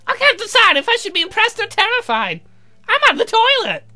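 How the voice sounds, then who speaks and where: Man putting on a high, creepy eccentric old uncle. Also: huge pitch swings, fairly quick, sharp tone, faint music behind, heavily acted. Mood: creepy glee. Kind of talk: visual novel character voice.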